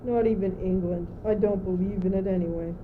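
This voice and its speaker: A woman's voice: mid-range voice, steady tone, brisk, slight background noise, lightly acted. A teenage girl playing a gloomy, confused, doubting young courtier in an amateur school radio play, plaintive and theatrical, on an old muffled recording. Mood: doubtful. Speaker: student actor.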